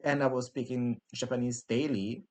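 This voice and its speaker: A man's voice: mid-range voice, steady tone, moderate pace, a little mellow. Italian-native man hosting a podcast. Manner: reflective, with frequent restarts. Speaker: podcast host. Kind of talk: casual podcast chat.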